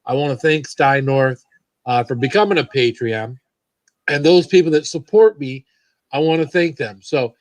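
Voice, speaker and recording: A man's voice: medium pitch, normal speed, no echo. A man hosting a casual livestream chat, talking loosely and thanking his supporters. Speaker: livestream host.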